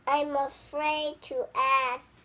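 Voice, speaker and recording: A child voicing a worried, easily upset male elephant from a picture book, with halting, incredulous delivery. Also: high voice, level tone, fairly bright tone. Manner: exclamatory, halting, incredulous questioning.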